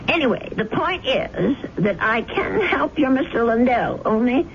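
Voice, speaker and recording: A woman's voice: a little high, lively intonation, unhurried, slight background noise. An actress playing an elderly widowed landlady, fretful and hesitant, in a dramatic 1970s radio mystery style. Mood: earnest, polite. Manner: hesitant, fussing, dramatic, talks to herself. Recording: dull vintage radio recording with loud music.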